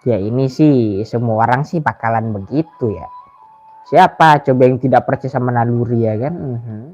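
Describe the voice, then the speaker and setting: A man's voice: medium pitch, fairly quick, a little mellow, muffled recording, faint music behind. Indonesian man, casual slangy game commentary. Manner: slangy, joking, swearing. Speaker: gaming streamer.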